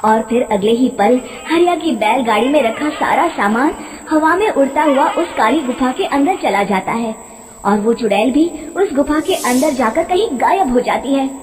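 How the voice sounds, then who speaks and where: A female narrator tells a scripted tale with dramatic flair. Her voice is fairly high and very animated in pitch, set in a long reverb. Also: moderate pace, a little hiss or hum.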